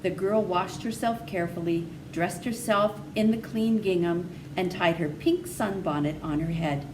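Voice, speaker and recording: A woman's voice: lowish voice, normal speed. Woman storybook reader, distant and noisy recording. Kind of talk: read-aloud children's story narration. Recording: noisy, distant microphone pickup.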